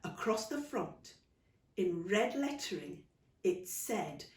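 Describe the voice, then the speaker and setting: A woman author reading her own children's story in animated storybook narration. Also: lowish voice, slow pace. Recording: roomy, distant microphone.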